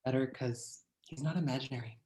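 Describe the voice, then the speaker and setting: A man acting a blunt, loyal twenty-something best friend, deadpan and curt, in a comedy screenplay read over a video call. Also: medium pitch, fairly quick, natural voice. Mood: exasperated, judging. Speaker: actor at an online screenplay table read. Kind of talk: dry comedy screenplay reading. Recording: roomy, distant video-call sound.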